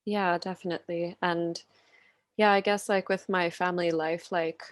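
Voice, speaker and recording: A woman in her twenties from Finland chats on a video call, her voice fairly low and the sound dry and close. She speaks softly, giggles often, and fills her sentences with "like". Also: fairly even intonation, normal speed, dry recording. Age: 20s. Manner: soft-spoken, giggly, many "like" fillers. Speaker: podcast guest. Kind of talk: casual interview chat.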